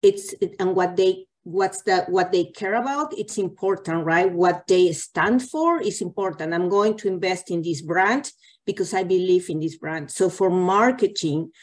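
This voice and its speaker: Low-voiced woman on a video call panel. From Latin America. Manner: halting, restarts phrases. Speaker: panel speaker, marketing executive. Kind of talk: virtual panel talk.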